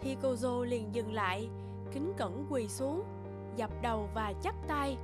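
Female storyteller, high pitch, scripted children's folktale narration, prominent background music. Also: a little slow.

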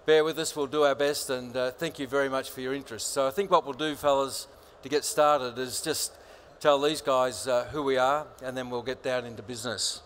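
A man moderates a panel on a conference stage. He talks at a middle pitch, stumbling through fillers and slipping in jokes, with a faint murmur of the event hall behind him. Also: steady tone, a little fast, few pauses, fairly bright tone, a little hiss or hum. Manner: hesitant, many fillers, jokey. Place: large conference stage with audience.

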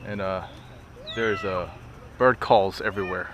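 A young man from Southern California hosting a fishing vlog. He gives a relaxed, casual recap, full of fillers and restarts, into an action camera held at arm's length outdoors. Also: sits low, measured pace, noisy background. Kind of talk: casual selfie-style vlog narration. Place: outdoors by a park lake, later on a street-side patio at night. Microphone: action camera held close at arm's length.

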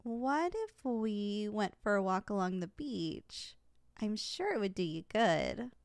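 A young woman performing an ASMR roleplay as a loving girlfriend, talking softly with an affectionate, teasing manner. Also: medium pitch, very animated, slow pace, clean tone.

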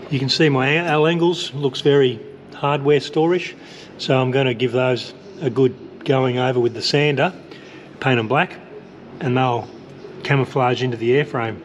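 A man casually talking through his aircraft build as he works, thinking aloud with plenty of hesitations. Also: medium pitch. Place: large metal hangar workshop. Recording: echoey hangar, faint background noise.

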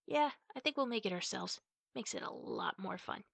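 A playful, affectionate big sister in an ASMR roleplay, acted by a woman. Her voice has a clear creak at a middling pitch, and she chats teasingly, stretching words and dropping into hushed asides. The sound is dry, with no room echo. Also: animated, moderate pace, heavily acted. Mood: playful, eager. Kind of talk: ASMR roleplay, spoken to the listener.

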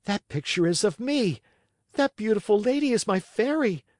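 A man's voice: animated, moderate pace, no echo, studio quality. A young puppet boy crying out. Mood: joyful. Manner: high, straining cries. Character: young male puppet-turned-donkey, yearning and desperate.

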